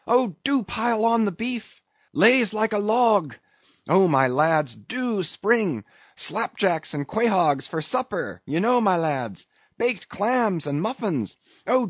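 A man performs an excitable mate in a whaleboat, pitched high and swooping wildly. He pleads with his rowers in repeated, frantic exclamations, as in a dramatic audiobook. The sound is slightly dull. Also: breathy edge, no room sound, full character voice.

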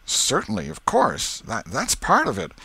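A man's voice: a little high, wildly swooping pitch, a little slow, gravelly, bright, forceful tone, breathy edge, no room sound, voice pushed up, exaggerated voice. A man voicing an eager, boastful young schoolboy in a storybook, with a folksy, insistent way of talking.

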